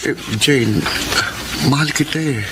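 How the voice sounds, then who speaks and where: A man's voice: mid-range voice, slow, lightly acted. A man playing a persistent, lovesick young suitor in a radio drama, pleading mournfully, on a very noisy old recording.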